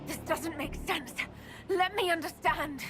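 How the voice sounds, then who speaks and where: A woman's voice: very high-pitched, measured pace, over music. A woman voicing a defiant, urgent young hero in a fantasy game cutscene. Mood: demanding. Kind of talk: scripted game cutscene dialogue.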